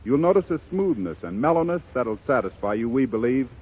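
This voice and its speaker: Animated American man announcing on tinny old radio. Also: medium pitch, fairly quick, husky. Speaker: announcer and narrator. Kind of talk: scripted 1930s radio narration and sponsor announcing. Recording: tinny muffled 1930s radio broadcast.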